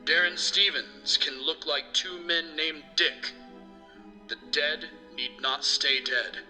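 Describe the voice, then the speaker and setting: A man performs a menacing schemer in an audio drama monologue. His voice is intensely sharp and slow, declaiming ominously over faint music. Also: on the high side, exaggerated voice. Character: menacing male schemer proclaiming doom.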